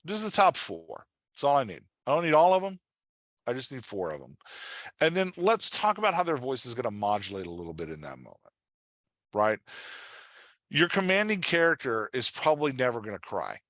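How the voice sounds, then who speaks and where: A man's voice: medium pitch, wildly swooping pitch, brisk, fairly bright tone, no echo, clean studio sound. A man teaching as a writing coach in an informal, conversational webinar style, explaining things and often checking in with his listeners.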